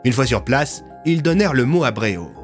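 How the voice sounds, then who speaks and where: A man's voice: mid-range voice, lively intonation, brisk. A man narrating scripted, dramatic audio fiction as a storyteller, with music underneath.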